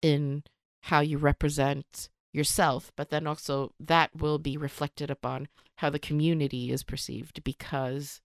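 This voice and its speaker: Low clear-voiced Swedish-born woman. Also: dry recording, clean studio sound. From Sweden. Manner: thoughtful, halting, many fillers and restarts. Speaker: comedian guest. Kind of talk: casual podcast interview.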